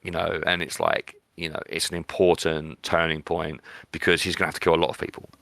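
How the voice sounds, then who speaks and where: A man's voice: rumbling low, fairly even intonation, fairly quick, creaky, fairly bright tone, no room sound, clean studio sound. A British man co-hosting a podcast, chatting casually about films in a blunt, opinionated, sweary way. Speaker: podcast co-host. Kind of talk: casual film discussion.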